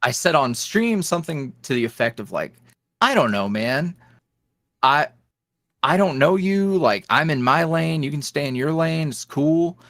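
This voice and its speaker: Guy guest on a podcast, super lively pitch, rambly casual storytelling, dry sound. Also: unhurried, no echo. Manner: rambling, animated storytelling with frequent laughs. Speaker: ASMR roleplay creator, podcast guest. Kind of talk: casual interview chat.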